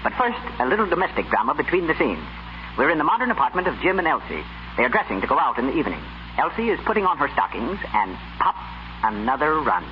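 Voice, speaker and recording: Old-time radio ad man, highish lively voice, muffled sound. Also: fast talker. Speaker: radio commercial announcer. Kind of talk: polished 1930s scripted announcing. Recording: muffled, faintly noisy 1930s radio recording.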